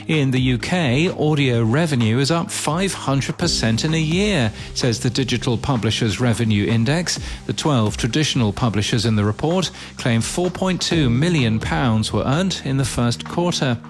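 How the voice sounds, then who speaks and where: A man presenting a scripted podcast news bulletin in crisp newsreader phrasing with wry touches. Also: medium pitch, lively intonation, moderate pace, few pauses. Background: background music.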